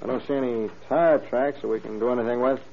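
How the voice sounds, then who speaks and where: A male actor plays an earnest, moralizing small-town deputy with a folksy, grumbling way. His voice is mid-pitched, in a vintage radio drama with dull, faintly noisy sound. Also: fairly even intonation, quick pace, vocal fry, natural voice. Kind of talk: old-time radio crime drama acting.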